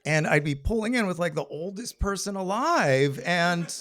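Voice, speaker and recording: A man's voice: high-pitched, animated, moderate pace, a bit bright. A middle-aged American man telling a personal story live to an audience in a wry, conversational way, slipping into quoted dialogue. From Maryland, United States.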